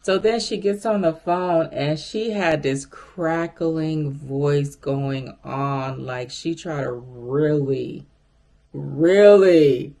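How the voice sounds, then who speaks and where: A woman with a deep voice telling a story to her viewers in a casual, animated way. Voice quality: deep, gravelly voice. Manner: chatty and animated, addresses viewers directly. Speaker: vlogger.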